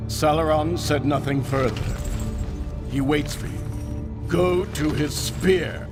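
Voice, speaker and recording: A man playing a solemn seer in a fantasy game, speaking in a measured way. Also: on the high side, music in the background. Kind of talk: fantasy game drama acting.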